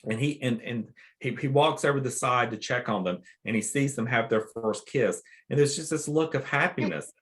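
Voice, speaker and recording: A man's voice: medium pitch, moderate pace, few pauses. A man talking in a book club chat, recounting film moments with enthusiasm. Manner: warm, enthusiastic about the film.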